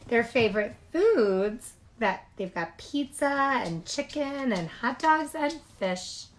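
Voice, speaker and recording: Slow-paced woman reading to children. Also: a little high. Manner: animated, expressive, addressing young children. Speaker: childcare storyteller reading aloud. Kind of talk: children's picture book read-aloud with casual asides.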